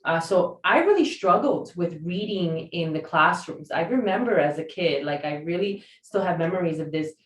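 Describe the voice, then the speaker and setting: A woman's voice: on the low side, animated, normal speed, few pauses. A woman podcast host whose first language is Spanish, speaking reflectively and casually with frequent fillers. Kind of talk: conversational interview. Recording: roomy sound from a distance.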